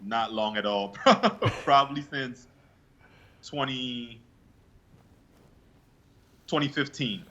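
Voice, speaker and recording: A young man from Guyana answering interview questions in a relaxed, laid-back way with hesitant fillers. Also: medium pitch, slow pace, pauses often, muffled recording. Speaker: interview guest, bodybuilder.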